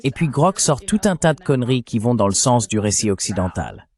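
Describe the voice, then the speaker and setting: A man's voice: mid-range voice, sing-song, a little fast, darkish tone. A man speaking as a dubbed interview guest, recounting events at length with indignation.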